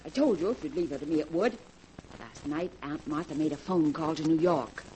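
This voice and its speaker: An actress in a 1940s radio drama playing a scheming young stage actress who is honeyed in company and sharp and scornful in private. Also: female voice, sits low, a little fast, a little hiss or hum, natural voice, low-quality recording. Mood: scolding.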